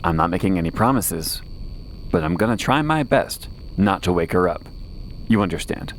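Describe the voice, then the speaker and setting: A man's voice: sits low, very animated, moderate pace, slight background noise, rough recording. A man playing a wry, weary post-apocalyptic radio host who rambles conversationally through a late-night audio drama monologue. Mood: hushed, weary. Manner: conversational, wry, rambling asides and self-corrections.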